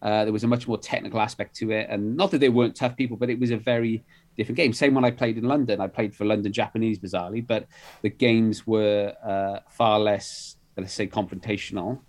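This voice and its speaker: A middle-aged man from Wales sits as an author guest, talking at length in a fairly low voice. He circles back on himself often, with restarts and fillers, as he works through his thoughts. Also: fairly even intonation, brisk, darkish tone. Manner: rambling, many restarts and fillers. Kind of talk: conversational interview.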